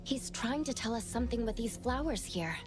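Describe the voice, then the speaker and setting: An actress playing an earnest, empathetic young woman in an anime-style video game, explaining gently and persuasively. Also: a little high, lively intonation, brisk, close to an everyday voice. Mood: thoughtful, hopeful. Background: faint background music.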